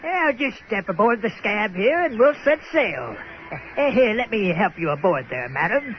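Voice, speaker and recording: An actor playing a lonely, sheepish, seedy garbage scow captain in a comic radio play, folksy and stammering. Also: male voice, sits high, big pitch swings, normal speed, faint music behind. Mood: pleased, folksy. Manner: stammering, hemming and hawing, folksy. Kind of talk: comic audio drama acting in old-time radio manner. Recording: dull lo-fi radio sound, faint noise.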